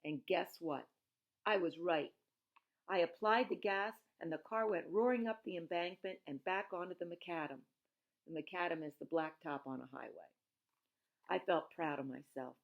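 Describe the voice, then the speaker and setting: A woman's voice: on the low side, normal speed, vocal fry, lo-fi sound. A woman reading a children's novel aloud expressively, in the manner of a teacher who explains things plainly. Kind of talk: expressive read-aloud storytelling with informal asides.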